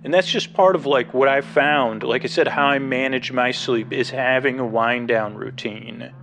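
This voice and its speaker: A man hosts a rambling sleep podcast in a voice full of vocal fry. He meanders, halts and doubles back as he talks listeners toward bed. There is a clear layer of background noise and hum under his mid-pitched voice. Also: fairly even intonation, fairly bright tone. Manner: halting, meandering, trailing off and circling back. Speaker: podcast host.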